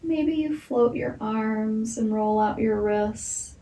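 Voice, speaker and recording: A woman leads a meditation in a slow, unhurried voice, soft and soothing, and at times she drops into a whisper. She sounds set back from the mic, and her voice rings in an echoey room. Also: a little high, far from the mic. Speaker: yoga and meditation teacher. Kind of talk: guided meditation.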